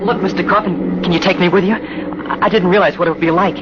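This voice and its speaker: An actor playing a scared sixteen-year-old runaway in a 1950s radio drama, earnest, hesitant and pleading. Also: male voice, high voice, steady tone, quick pace, natural voice. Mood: anxious, earnest. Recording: very noisy, dull old radio recording.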